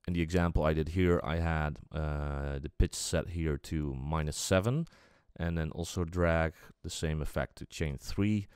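A man with an extremely low, smooth voice walks through a software tutorial in a casual way. He explains step by step, feeling out his sentences as he goes. The sound is dry and close to the mic. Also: measured pace, hardly any pauses, dry recording. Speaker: music production tutorial presenter.

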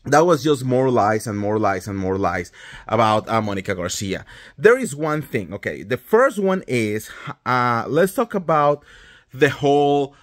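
A man's voice: medium pitch, very animated, a little slow. A man in his thirties with a Colombian Spanish accent, ranting emphatically about reality TV into a phone at home. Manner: indignant, emphatic, swears freely. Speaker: pop culture vlogger. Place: indoors at home, small room. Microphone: phone held close at arm's length.

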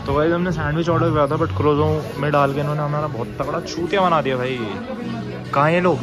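A man's voice: fairly high pitch, fairly even intonation, quick pace. Brash young man filming a phone vlog in a noisy cafe. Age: young adult. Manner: brash, mocking, slangy banter. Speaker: vlogger. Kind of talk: casual cafe review vlog. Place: busy cafe indoors. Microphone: phone at arm's length. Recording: loud music and crowd in cafe.

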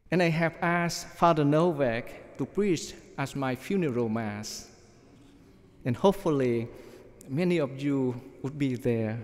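A middle-aged man, a priest, preaching a measured sermon from notes at a church lectern microphone. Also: a little high, a little mellow. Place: large church, at the ambo. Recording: long church reverb.

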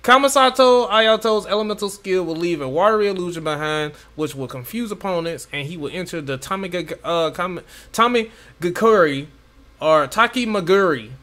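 A young man in a home room giving casual, excited reaction commentary on a game, repeating phrases and stumbling over names. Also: high-pitched, normal speed. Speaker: gaming reaction YouTuber. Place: home room with gaming chair.

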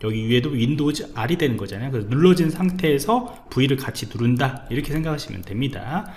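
A man teaching a programming lesson over a screen recording, explaining step by step and often pausing with fillers. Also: medium pitch, lively intonation, darkish tone, slight background noise. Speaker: programming instructor. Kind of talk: screencast coding tutorial.

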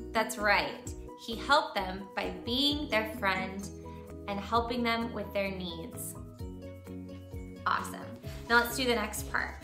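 A woman in her thirties reading and teaching for young children in an expressive, patient way. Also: medium pitch, reverberant. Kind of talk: children's read-aloud and lesson.

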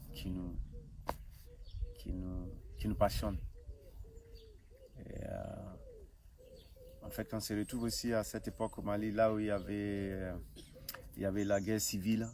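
A middle-aged man from Nigeria, an evangelist, telling his story outdoors in a garden, halting and full of fillers and restarts. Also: sits low. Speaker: evangelist guest. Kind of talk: personal testimony interview. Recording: faint outdoor noise, birds.

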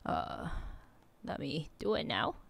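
Chatty young woman, low voice, faint music. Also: huge pitch swings, slow pace, gravelly, very noisy. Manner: chatty and self-deprecating, laughs at herself. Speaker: music producer hosting a tutorial stream. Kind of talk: casual tutorial talk. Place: small home studio with neon lights. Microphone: studio mic on a boom arm close to her mouth.

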